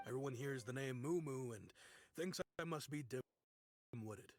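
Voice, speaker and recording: Friendly, chatty merchant, medium pitch. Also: male voice, expressive, unhurried, a little mellow, no echo. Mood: genial, nostalgic. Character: friendly self-made businessman, nostalgic about a poor childhood. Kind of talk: video game character acting. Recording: game music behind.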